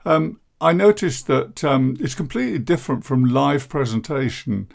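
Older male podcast host, deep gravelly croak, measured and downbeat, self-deprecating. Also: lively intonation. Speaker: podcast host, therapist and coach. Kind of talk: reflective podcast monologue.

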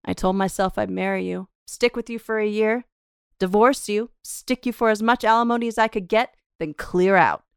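A woman's voice: medium pitch, normal speed, vocal fry, smooth, dry recording, studio quality, natural voice. A woman playing a scornful, taunting gold-digging wife in a theatrical reading of a 1930s radio melodrama, with slangy delivery. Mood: mocking scorn. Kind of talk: 1930s radio horror melodrama table read.

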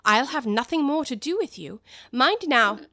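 A woman's voice: high-pitched, big pitch swings, moderate pace, voice pushed up. A woman voicing an earnest, proper young girl in a storybook, with questioning, lilting speech. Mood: stern, serious. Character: polite, earnest, curious little girl.

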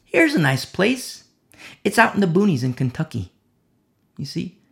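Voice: mid-range voice, sing-song, moderate pace, vocal fry. A preacher delivering a sermon, with rhetorical questions and a repeated "you see?".

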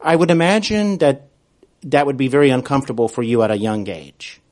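An American man speaking conversationally as a podcast interviewer, attentive and a bit halting in his phrasing. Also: mid-range voice, a little mellow.

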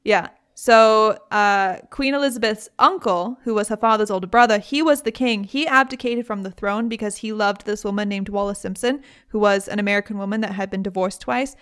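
A young British woman hosting a podcast, telling a story in a casual, chatty way with lively asides. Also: mid-range voice, fairly quick, few pauses, clean tone. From Britain. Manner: chatty, animated, frequent laughs and asides. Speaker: podcast host.

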